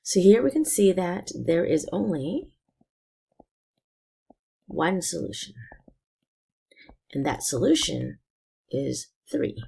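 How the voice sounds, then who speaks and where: A woman teacher explaining a math lesson step by step, her voice raspy and hoarse from being sick. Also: on the low side, big pitch swings, slow, pauses often, mellow. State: hoarse from illness.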